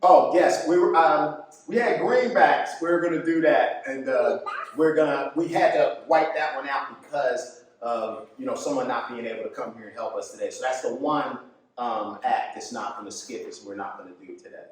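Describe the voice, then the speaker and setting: A man giving an informal live introduction to a puppet show as the artist running it, speaking in a halting, appreciative way. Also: mid-range voice, slight background noise. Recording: echoey room, distant mic, music behind.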